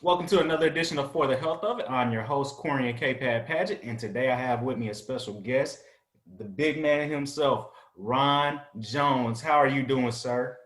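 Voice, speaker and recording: A man in his thirties hosts a casual podcast interview and keeps it easygoing with quick affirmations. His voice is mid-pitched. It rings out in a noticeably echoey room. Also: fairly bright tone. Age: 30s. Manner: easygoing, affirming with repeated "right" and "good deal". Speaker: podcast host.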